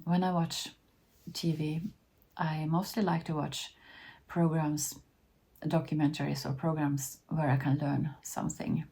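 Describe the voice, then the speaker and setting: A woman in her fifties from Sweden, whose first language is Finnish, talking casually to camera in a hesitant way with many soft restarts. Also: low voice, creaky, slightly rough. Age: 50s. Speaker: vlogger.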